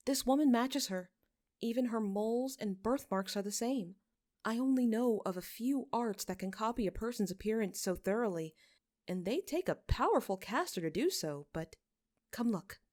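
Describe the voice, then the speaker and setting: Noble mother acted by the reader, a woman: raised pitch, caring, composed, articulate, fantasy audio story. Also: lively intonation, moderate pace, darkish tone, lightly acted. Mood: puzzled, analytical.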